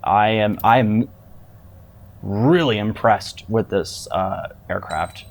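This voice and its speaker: Guy doing lets play commentary, lowish voice, casual n halting, repeats himself. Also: vocal fry, a little hiss or hum. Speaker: gamer commentating on own playthrough.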